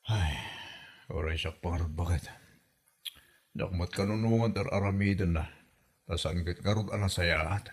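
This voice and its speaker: A man's voice: low-pitched, moderate pace, long pauses, husky. A man with an Ilocano accent playing a hesitant, protesting husband caught between wife and mother in a comedy drama. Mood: reluctant.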